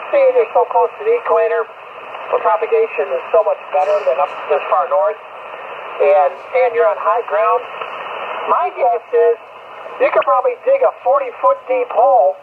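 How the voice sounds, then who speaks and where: A man's voice: sits high, gravelly, phone-quality sound. An American man chatting casually and at length as an amateur radio operator over shortwave, often hesitating and repeating himself. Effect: heard over shortwave ham radio. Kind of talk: casual ham radio conversation. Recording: thin, very noisy shortwave radio signal. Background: loud radio static.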